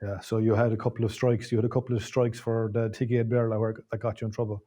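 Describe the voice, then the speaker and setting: Irish interviewer with a mellow voice. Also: male voice, fairly low pitch, flat delivery, fast talker. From Ireland. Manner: probing, rephrases questions, repeats words. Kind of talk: conversational interview.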